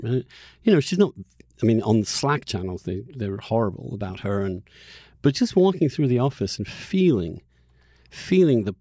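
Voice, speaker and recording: A man hosting a conversational podcast, speaking emphatically and haltingly, often repeating words as he builds a point. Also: mid-range voice, vocal fry, darkish tone, no echo, studio quality. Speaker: podcast host, journalist.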